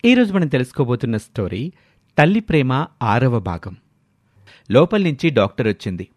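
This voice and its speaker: Animated male storyteller. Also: medium pitch, normal speed, dry recording. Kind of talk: scripted moral story narration.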